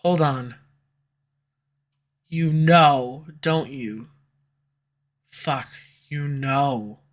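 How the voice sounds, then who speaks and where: A woman voicing a sharp-tongued, tsundere female villain who swears and speaks sarcastically, recorded close on a studio condenser mic. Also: a bass voice, extremely slow, full character voice. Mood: rattled. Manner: sarcastic, swears, turns confessional. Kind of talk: audio roleplay. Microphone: studio USB condenser mic.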